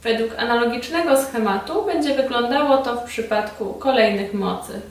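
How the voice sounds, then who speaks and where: Higher-voiced woman narrating instructions. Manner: formal, measured, instructional phrasing. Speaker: instructor presenting a beauty device training film. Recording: roomy echo, distant mic, background noise.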